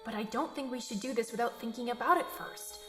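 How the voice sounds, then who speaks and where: An actress playing a skeptical young friend in an audio drama, questioning and sardonic. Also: female voice, on the high side, fast talker, natural voice. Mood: earnest, insistent. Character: skeptical, wisecracking young friend tagging along on a mission. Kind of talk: naturalistic audio drama acting. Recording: roomy echo with music behind.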